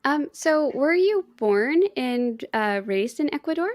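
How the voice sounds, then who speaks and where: A woman's voice: sits high, lively intonation, takes it slowly, no echo, studio quality. A woman co-hosting a book club, chatting casually and earnestly and gushing about what she loves. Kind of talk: casual interview chat.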